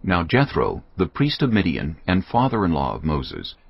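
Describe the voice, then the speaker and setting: A man narrating scripture in a measured, expressive reading. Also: deep voice, vocal fry, breathy edge, muffled recording.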